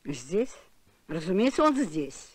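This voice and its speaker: A woman's voice: fairly low pitch, very animated, takes it slowly, husky, no room sound, full character voice. A woman voicing a fussy, insistent elderly grandmother in a Soviet cartoon, with an imperious manner. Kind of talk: Soviet animated film voice acting.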